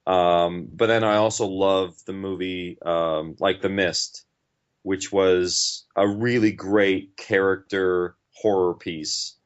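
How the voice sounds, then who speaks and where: A man's voice: low voice, fairly even intonation, slow, clear, resonant tone. A man talking casually as an interview guest, in an informal, rambling and enthusiastic way. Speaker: interview guest, podcast writer and director. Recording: dull, lo-fi audio.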